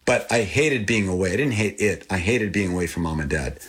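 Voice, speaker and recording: An older man with a low, husky voice who grew up speaking Thai. He talks earnestly as an interview guest, reflective and searching, and his voice rises when he remembers something. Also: a little fast. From born in Texas, raised in Thailand. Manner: earnest, animated when recalling, repeats and restarts.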